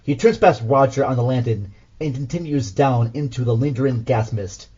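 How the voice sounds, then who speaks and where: A man's voice: medium pitch, normal speed, dark tone, highs cut off, slight background noise. A man reading scripted audio drama narration as the host.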